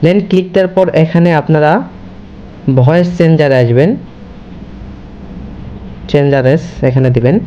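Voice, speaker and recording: A man's voice: on the high side, moderate pace, long pauses, lo-fi sound, a little hiss or hum. A man hosting a casual step-by-step screen tutorial, linking his sentences repetitively with the same connective word. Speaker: tutorial host.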